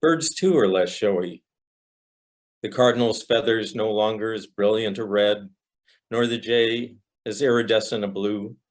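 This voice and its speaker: Slow, lowish-voiced older man reciting poetry. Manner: deliberate, reflective phrasing. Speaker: poet reading own poem.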